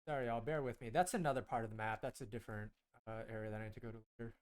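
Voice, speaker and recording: A man's voice: mid-range voice, fairly even intonation, rapid-fire. A young man whose first language was Korean, raised in the United States, chatting casually with viewers while streaming a game, rambling and restarting his sentences. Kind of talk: casual gameplay stream chat, talking to chat.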